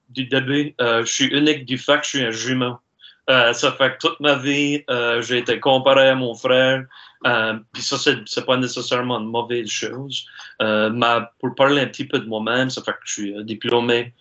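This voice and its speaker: A man in his forties with an Acadian French accent, answering interview questions earnestly, with many filler pauses. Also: mid-range voice, a bit bright. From Baie-Sainte-Marie, Nova Scotia. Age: 40s. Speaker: guest, university sports director. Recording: dull lo-fi sound.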